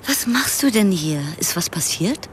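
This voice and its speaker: A woman's voice: mid-range voice, animated, a little slow, slight background noise, natural voice. An actress playing a puzzled, probing woman in a naturalistic radio play. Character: puzzled, probing woman, familiar with the man addressed.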